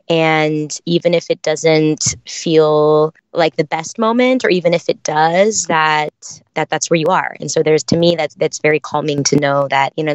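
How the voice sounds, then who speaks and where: A woman's voice: low-pitched, smooth, no echo, dull audio. A young adult woman from the San Francisco Bay Area, a dancer and choreographer, answering interview questions in a thoughtful, reflective way with many restarts. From San Francisco Bay Area, California. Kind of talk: podcast interview.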